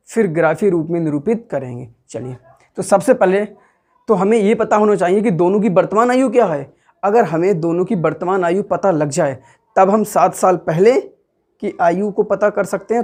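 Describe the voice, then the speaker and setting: A man's voice: high-pitched, darkish tone. A man with a Hindi accent teaching maths step by step, asking the class questions and answering them himself, patiently repeating each step. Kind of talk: classroom lesson, explaining step by step.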